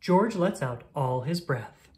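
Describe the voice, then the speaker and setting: A woman with a low-pitched voice narrates a children's picture book. Her intonation swings widely from phrase to phrase, and she delivers each line deliberately and expressively for young listeners. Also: lively intonation, warm tone, a little hiss or hum. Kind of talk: scripted read-aloud narration of a picture book.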